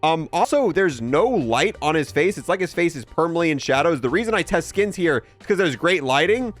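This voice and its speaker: Male game reviewer, highish voice, animated intonation, informal and wry. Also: fairly quick, faint music behind. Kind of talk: casual gameplay commentary.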